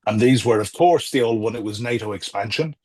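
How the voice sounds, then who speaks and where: A middle-aged man, a former diplomat, talking as a guest over a video call, measured and hesitant with many fillers and restarts. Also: lowish voice, darkish tone. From Ireland or Britain. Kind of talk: remote interview, political commentary.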